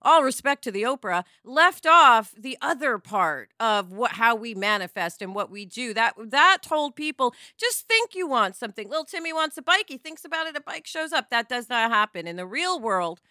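Animated, bright, high-voiced woman. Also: normal speed, hardly any pauses, no echo, studio quality. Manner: opinionated, emphatic, uses profanity. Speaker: podcast co-host. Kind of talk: casual conversational podcast talk.

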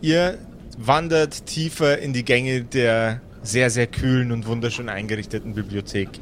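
A man narrating an improvised fantasy tabletop audio play as game master, deliberate, pausing between phrases. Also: medium pitch, fairly even intonation, a little hiss or hum.

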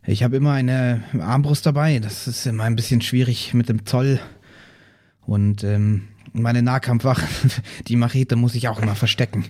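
Relaxed man introducing his character. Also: medium pitch, moderate pace. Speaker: player. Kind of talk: introducing his character in a role-play podcast.

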